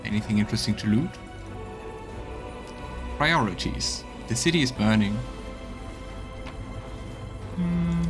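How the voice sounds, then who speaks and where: A man's voice: on the low side, animated, takes it slowly, pauses often, music in the background. A man streaming a game with casual live commentary, muttering wry asides to himself as he plays.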